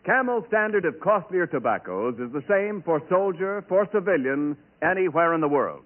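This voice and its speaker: A man's voice: high voice, lively intonation, moderate pace, phone-quality sound, a little hiss or hum. A man announcing a scripted 1940s radio advertisement in an emphatic, declamatory style. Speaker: commercial announcer. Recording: band-limited old radio broadcast.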